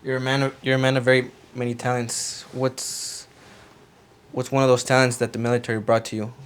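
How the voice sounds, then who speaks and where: A man's voice: mid-range voice, little pitch movement, brisk, clear, resonant tone. A man hosting a casual podcast, welcoming his guest and asking friendly, encouraging questions. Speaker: podcast host.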